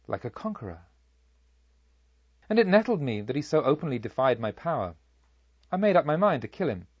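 A proud robber chief, played by a male audiobook reader, recounts his deeds in formal, measured phrases. His mid-pitched voice rises and falls dramatically, with vengeful indignation. The recording is dry and a little dull. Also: darkish tone, husky, dry recording. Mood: scornful, resolute. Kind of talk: audiobook story narration in character.

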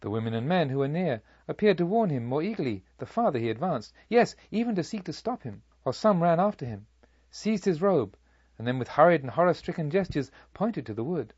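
Man voicing a proud, vengeful robber chief, dramatically animated. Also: a little high, husky, no echo, dull audio, pitched above the performer's own voice. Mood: brooding. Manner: measured, formal, dramatic storytelling. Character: proud, vengeful robber chief recounting his deeds, baffled, indignant. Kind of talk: audiobook story narration in character.

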